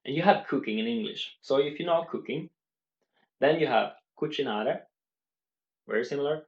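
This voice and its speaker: A man's voice: mid-range voice, moderate pace, highs cut off. Earnest man in an echoey room. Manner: earnest, explains step by step with many restarts. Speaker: language-learning vlogger. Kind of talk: unscripted talk to camera.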